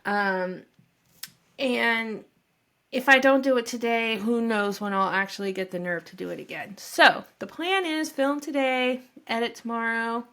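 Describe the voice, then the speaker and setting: Slow-talking nervous woman vlogger. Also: a little high, bright, forceful tone. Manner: rambling and self-deprecating, with many fillers; shy and nervous. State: stuffy from a head cold. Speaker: vlogger introducing her channel. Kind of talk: casual talk to camera. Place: home office. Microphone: own microphone.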